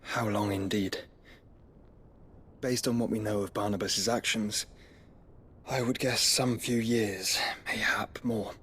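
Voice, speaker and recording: An actor playing a scholarly young nobleman in a fantasy game, speaking formal archaic lines in a measured, soft, courtly way. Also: on the low side, fairly even intonation, husky, full character voice. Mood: grave.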